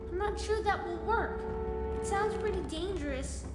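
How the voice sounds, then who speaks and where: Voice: extremely high pitch, normal speed, roomy sound, long reverb tail, over music. An actor voicing an earnest, polite and brave young boy in a video game. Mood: concerned. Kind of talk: video game character acting.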